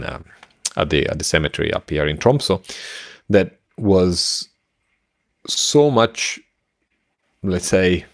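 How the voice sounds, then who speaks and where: A middle-aged man co-hosting a podcast in casual discussion, haltingly searching for words into a close microphone. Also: low-pitched, dry recording. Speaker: podcast co-host. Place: small room at home, indoors, video call. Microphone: close podcast microphone with headset.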